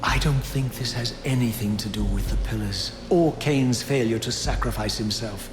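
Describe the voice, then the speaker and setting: An actor playing a proud, sardonic undead wraith in a video game, speaking in a formal, theatrical and biting manner. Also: male voice, medium pitch, moderate pace, noisy background, lightly acted. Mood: taunting. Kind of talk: dramatic video game voice acting and narration. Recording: echoey, with music behind.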